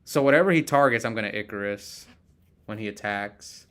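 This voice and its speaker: A man's voice: lowish voice, a little fast. A man casually commentating a card game he is playing live, thinking aloud and chatting with viewers as he goes.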